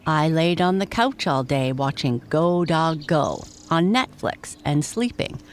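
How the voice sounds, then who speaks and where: A woman's voice: low voice, lively intonation, a little slow, a little hiss or hum. A woman voicing a big, lazy, sleepy male bulldog in a bedtime story for children. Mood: sleepy, contented. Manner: yawning, lazy.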